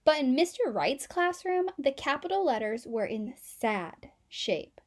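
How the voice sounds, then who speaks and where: A woman reading a children's storybook aloud in an expressive storytelling style. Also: high-pitched, a little slow. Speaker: children's book reader.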